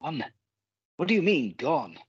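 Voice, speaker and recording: A man playing an urgent, worried Victorian gentleman in a radio play, on a dull, muffled recording. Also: fairly high pitch, sing-song, measured pace, breathy edge, dry recording, heavily acted. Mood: alarmed, insistent. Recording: dull, muffled, dry audio.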